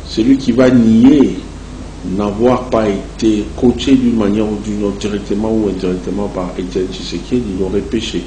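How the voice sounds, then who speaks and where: Slow, low-voiced Congolese man in echoey room. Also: mellow. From Democratic Republic of the Congo. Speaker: interview guest, entrepreneur and association president. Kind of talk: television interview, answering at length. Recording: echoey room, clear background noise.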